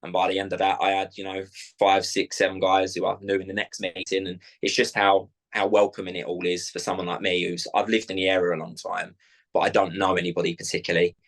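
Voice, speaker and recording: Low, flat-voiced South London man. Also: quick pace. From South London, England. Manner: chatty, rambling with many fillers and restarts. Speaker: club owner, guest. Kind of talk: casual interview chat.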